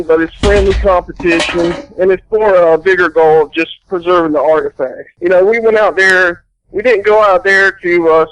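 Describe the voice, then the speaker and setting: A man's voice: on the high side, fairly bright tone, slight background noise, rough recording. A man guest on a radio show, telling stories in a rambling, casual way with many fillers. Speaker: guest metal detectorist.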